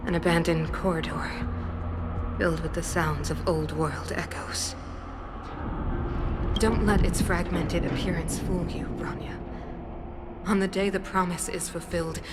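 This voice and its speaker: A woman's voice: fairly low pitch, background noise, lightly acted. A woman voicing a cold, solemn, imperious mother and ruler in a video game.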